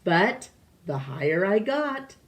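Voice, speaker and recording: A woman reading a children's picture book aloud, deliberately, with pauses between phrases. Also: medium pitch, very animated. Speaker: storytime reader.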